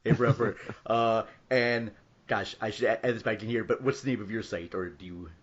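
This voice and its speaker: A man's voice: lowish voice, fairly even intonation, moderate pace, a crowd in the background. A man hosting a podcast in a casual, somewhat hesitant and apologetic way, with informal introductions. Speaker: podcast host. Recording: dull lo-fi voice recording.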